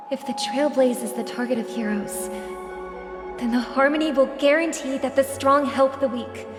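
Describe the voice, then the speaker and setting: Actress as gentle, resolute young idealist for a game; high voice, echoing reverb. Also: female voice, close to an everyday voice. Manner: earnest, heartfelt, measured phrasing. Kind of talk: video game voice acting. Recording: echoing reverb, clear music underneath.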